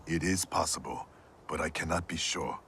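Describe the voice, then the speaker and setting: A resolute veteran soldier with an extremely deep voice, firm and matter-of-fact. His lines come as game cutscene dialogue. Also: normal speed, a bit bright, a little hiss or hum. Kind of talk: video game cutscene acting.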